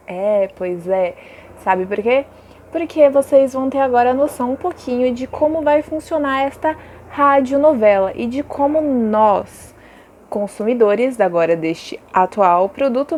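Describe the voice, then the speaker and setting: Female schoolteacher, Brazilian accent, fairly high voice, informal and direct lesson delivery. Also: moderate pace, darkish tone, a little hiss or hum. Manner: addresses pupils directly, rhetorical questions. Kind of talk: informal recorded lesson, with reading aloud from textbook.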